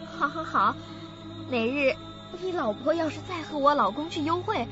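A woman's voice: high voice, lively intonation, normal speed. An actress playing a deranged, broken woman in a radio drama, half laughing and half crying as she talks. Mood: deranged, pleading. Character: mad, broken sister-in-law babbling into a toy phone. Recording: muffled dull recording with loud music.

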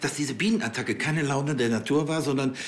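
An actor playing a fussy, exasperated police chief in a TV drama, stammering and officious. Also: male voice, medium pitch, fairly quick, close to an everyday voice.

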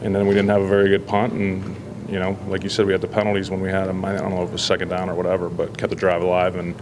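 A man in his forties, a football head coach, answering reporters after a loss in a measured, halting way with many fillers. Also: low voice, monotone, background noise. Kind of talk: post-game press scrum. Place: indoor press backdrop area. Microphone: several handheld reporter mics.

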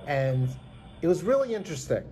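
Man, 50s, sincere rambling vlogger, slightly high warm voice, close phone mic, faint hiss. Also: sing-song, a little fast, soft background music. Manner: earnest, rambling, many restarts. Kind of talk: heartfelt tribute talk to camera. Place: small indoor room. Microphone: phone camera held close.

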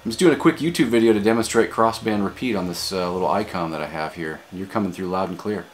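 A man's voice: low voice, fast, slight background noise. An American man in his forties giving a casual demonstration and chatting as an amateur radio hobbyist. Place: small home office indoors.

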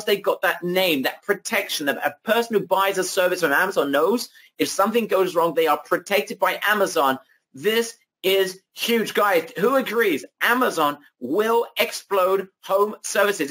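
A man presenting a sales webinar over slides, emphatic and repetitive, his voice going hoarse. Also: high voice, moderate pace, bright, forceful tone, poor audio. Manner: emphatic, repetitive hype, addresses audience as guys.

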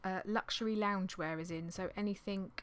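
A woman in her thirties, slightly hoarse from losing her voice, chatting in a rambling, apologetic way on a vlog, recorded in a small indoor craft room on a desktop mic. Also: mid-range voice, steady tone, fairly quick. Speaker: clothing reseller vlogger. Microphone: desktop condenser mic on an arm.

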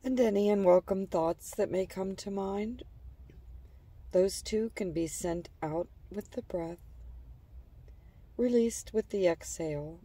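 A woman narrating a guided imagery relaxation in a calm, gentle, soothing manner, letting phrase endings trail softly. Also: medium pitch, no echo, distant mic.

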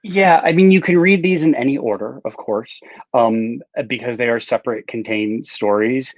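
A man's voice: medium pitch, normal speed, dry recording. A middle-aged man giving casual, rambling interview answers with self-deprecating asides over a video call. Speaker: author, interview guest. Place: indoor room with bookshelves, on a video call. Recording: slightly dull video-call audio.

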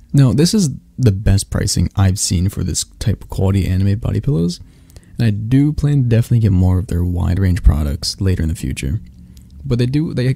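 A man's voice: medium pitch, gravelly, dark tone, no echo. A man casually presenting a sponsor read, informal and chatty in his phrasing. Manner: informal, tags phrases with "right?". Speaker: ASMR creator presenting a sponsor.

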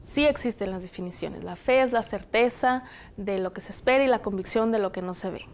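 A young woman from Zacatecas with a Mexican Spanish accent, hosting a studio discussion on a lapel mic in a TV studio, speaking in a measured, explanatory way. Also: mid-range voice, a little hiss or hum. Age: young adult. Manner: measured, explanatory, reads passages aloud. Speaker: host. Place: television studio, indoors.

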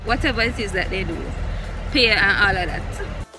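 A woman's voice: medium pitch, normal speed, poor audio. A woman with a Jamaican accent hosting a vlog, greeting her viewers in a cheerful, chatty way. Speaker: vlog host. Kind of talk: casual vlog narration to camera. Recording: music playing underneath.

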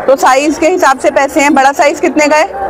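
Woman market vlogger, high bright voice, chatty price checks, loud crowd behind. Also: steady tone, a little fast. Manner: chatty, asks vendors prices and repeats them to viewers. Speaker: vlogger touring a street market. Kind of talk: casual market tour narration. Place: busy outdoor street market.

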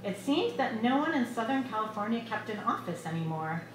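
A middle-aged woman reading her own short fiction aloud at a live event, in a measured, expressive storytelling delivery. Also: a little high. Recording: echoey bar room with loud background noise.

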